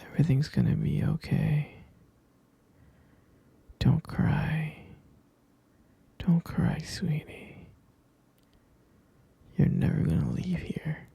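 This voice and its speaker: A man voicing an obsessive, possessive young boyfriend who keeps his partner captive, talking in a soft, coaxing whisper close to the mic. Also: a little high, fairly even intonation, slow pace, gravelly, long pauses, slightly rough, no room sound, exaggerated voice. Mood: sweetly obsessive. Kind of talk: whispered ASMR roleplay.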